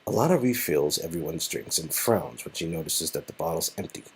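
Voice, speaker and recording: A man's voice: very deep voice, lively intonation, fairly quick, slightly rough. A man casually narrating an interactive story game and chatting in asides with his co-reader.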